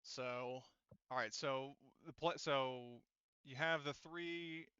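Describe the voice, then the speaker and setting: A man leads a tabletop roleplaying session as game master. His voice is smooth and clean at a middling pitch, and he talks in a meandering, explanatory way. The sound is dry and somewhat muffled. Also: takes it slowly, pushed and bright, no room sound.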